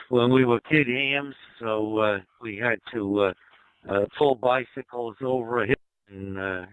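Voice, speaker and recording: An older American man chatting casually and reflectively with long pauses, heard over a digital ham radio link. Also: on the low side, fairly bright tone, smooth, no room sound, low-quality recording. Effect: digital ham radio voice codec. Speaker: amateur radio operator. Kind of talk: unhurried casual radio chat. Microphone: two-way radio microphone.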